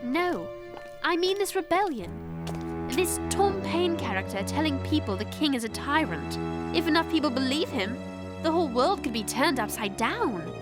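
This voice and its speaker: A woman playing an earnest, prim young English girl reporter in an animated series, speaking formally with an English accent. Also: high-pitched, moderate pace, over loud music. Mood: worried. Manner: proper, formal, indignant.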